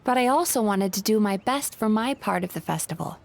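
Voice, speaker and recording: A woman playing a tired, gentle and hardworking yokai therapist in a video game, polite and earnest. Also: mid-range voice, animated, brisk, creaky, a little hiss or hum.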